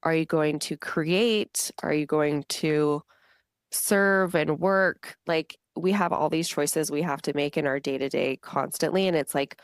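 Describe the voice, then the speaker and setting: A woman guest on a podcast, talking in a chatty, animated way with lots of "like". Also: sits low, no room sound, clean studio sound. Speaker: podcast guest, business owner. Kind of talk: casual podcast conversation.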